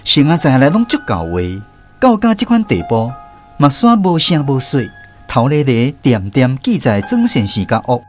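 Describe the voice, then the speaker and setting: A man with a Taiwanese accent narrating a radio drama as an expressive storyteller. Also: mid-range voice, moderate pace, faint music behind.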